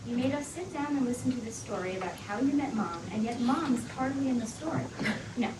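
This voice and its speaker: A woman's voice: fairly high pitch, expressive, brisk, distant mic, lightly acted. An actress at a script read playing a teasing teenage daughter who insists on her point. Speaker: actor at a table read. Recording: echoey room, off-mic, noisy.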